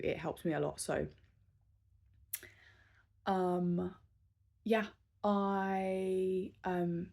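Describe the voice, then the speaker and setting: A woman in her thirties recording a casual vlog, talking unscripted and candidly, with many pauses, fillers and restarts. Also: lowish voice, dark tone. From southern England. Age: 30s. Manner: candid and self-reflective. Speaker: vlogger.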